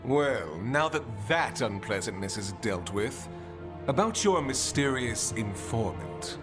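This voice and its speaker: An actor playing a haughty, officious city official in a fantasy video game, pompous and disapproving. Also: male voice, medium pitch, big pitch swings, slow. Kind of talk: video game dialogue acting. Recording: game music underneath.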